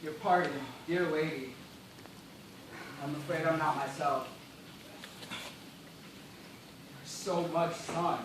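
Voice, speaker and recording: A very reverberant, noisy stage recording carries a man acting as a weak gentleman castaway from some distance. He is parched and despairing, yet keeps a formal, courteous diction with a melodramatic edge. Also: on the high side, steady tone, measured pace, lightly acted. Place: school theatre stage, recorded from afar.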